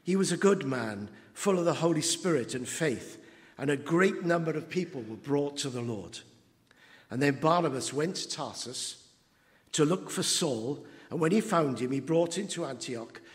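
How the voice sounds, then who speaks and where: A man, preaching an anniversary sermon as pastor, halting with fillers and building to emphatic moments. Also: fairly high pitch, animated. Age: 70s. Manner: slow, halting with many fillers, rising to emphatic. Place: church hall. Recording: long church reverb.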